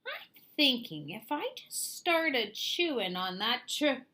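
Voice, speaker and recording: An eager little storybook beaver, voiced by a woman, high and bright. He is quaint, chirpy and agreeable. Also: sing-song, slow pace, exaggerated voice. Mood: hesitant. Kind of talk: children's storybook character voice.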